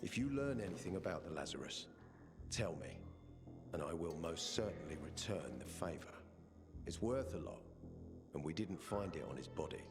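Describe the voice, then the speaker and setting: An actor playing a stern male peacekeeper officer who speaks in a firm, measured, commanding way. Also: deep voice, lively intonation. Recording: game cutscene with music bed.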